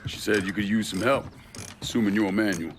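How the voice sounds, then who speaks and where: A man's voice: fairly low pitch, fast, noisy background, close to an everyday voice. A man playing a hard, terse, vengeful crime boss in a video game, with a blunt, cold delivery. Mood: dry. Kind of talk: video game cutscene dialogue.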